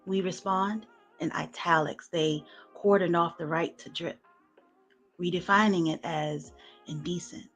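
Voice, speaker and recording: A woman performing sensual spoken word poetry in a soft, smooth, hushed voice. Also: lowish voice, fairly even intonation, faint music behind. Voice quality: soft, smooth, breathy-edged voice. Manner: hushed, slow sensual delivery with soft laughs in chat. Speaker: guest poet.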